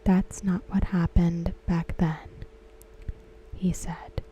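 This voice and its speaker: A woman whispering softly and unhurriedly in an ASMR style, talking about a TV episode. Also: on the low side, little pitch movement, slow, breathy edge, rough recording. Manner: soft unvoiced whisper throughout. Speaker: ASMR creator discussing a TV episode.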